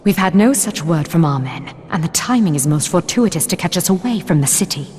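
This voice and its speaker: A suspicious, formal woman officer in a fantasy game, voiced by an actress. Her voice sits fairly low and guarded. It rings in a hall with faint noise. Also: lively intonation, brisk, a little mellow, lightly acted. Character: shrewd, suspicious female military officer. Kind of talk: dramatic video game cutscene acting.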